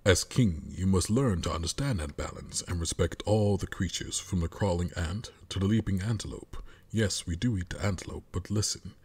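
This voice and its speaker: A man voicing a wise, patient lion king in a solemn, instructive way, as in an animated film. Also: low voice, moderate pace, few pauses, husky. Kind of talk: animated film monologue in a demo reel.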